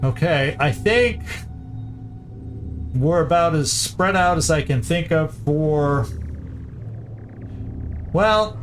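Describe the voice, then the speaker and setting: A man's voice: a little high, expressive, slow pace, long pauses. A man commentating a strategy game, thinking aloud with hesitant restarts as he plans each move. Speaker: gameplay commentator. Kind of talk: casual let's-play commentary. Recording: game music playing behind.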